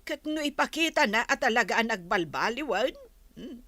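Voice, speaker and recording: A woman's voice: on the high side, animated, moderate pace, sharp tone, no room sound. A woman playing a fretful, sympathetic older female relative in a radio drama, with sighing interjections. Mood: worried, sympathetic. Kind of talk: Philippine radio drama acting.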